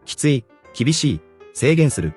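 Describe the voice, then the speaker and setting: A man narrating a scripted language lesson, explaining phrases in a brisk, explanatory way. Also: mid-range voice, sing-song, darkish tone, soft background music. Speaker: English-learning narrator.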